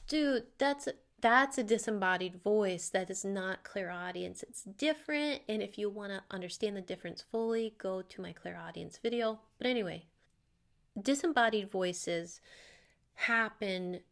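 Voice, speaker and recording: Woman medium chatting thru viewer questions, loose and rambly, lots of ums, medium pitch. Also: moderate pace, creaky, a bit bright. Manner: chatty, loose, with many fillers and trailing asides. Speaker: psychic medium. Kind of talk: casual viewer Q&A vlog.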